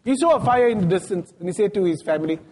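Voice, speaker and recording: A man preaches in a mosque, his fairly high voice swinging widely up and down. He tells stories in plain everyday speech and slips into lively dialogue. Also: rapid-fire, a little hiss or hum, rough recording. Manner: storytelling, retelling scenes in everyday speech with lively quoted dialogue. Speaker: sheikh giving a Friday talk. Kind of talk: sermon.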